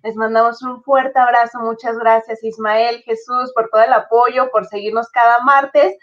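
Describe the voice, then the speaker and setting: A woman's voice: on the high side, fairly even intonation, moderate pace, a bit bright. Upbeat Mexican woman hosting on a video call. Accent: Mexican Spanish. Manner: enthusiastic, list-like phrasing. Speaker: radio host. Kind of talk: hosting a live show. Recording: dull lo-fi video call audio.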